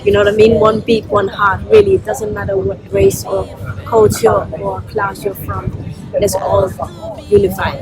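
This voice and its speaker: A woman from Copenhagen, Denmark, speaking as a singer in a casual, expressive festival interview. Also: mid-range voice, normal speed, background noise. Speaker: singer and rapper being interviewed.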